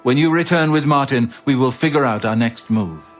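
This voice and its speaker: A male actor playing a grave, learned former royal bodyguard captain in a fantasy video game, speaking in a measured, solemn way. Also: medium pitch, soft background music, close to an everyday voice. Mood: earnest, urging. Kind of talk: scripted fantasy game dialogue.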